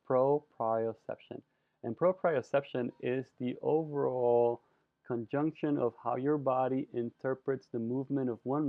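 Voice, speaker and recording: A man from Colombia giving a presentation lecture, expressive and savoring his words with drawn-out emphasis. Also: mid-range voice, gravelly, dry recording. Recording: dry, phone-like narrow sound.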